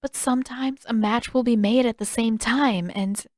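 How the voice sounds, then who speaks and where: Woman voicing a gentle, regretful young princess, higher-pitched. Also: moderate pace, dry recording, clean studio sound, natural voice. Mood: sad, earnest. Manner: soft, polite, careful phrasing. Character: gentle, regretful teenage princess, earnest and formal. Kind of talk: audiobook character dialogue.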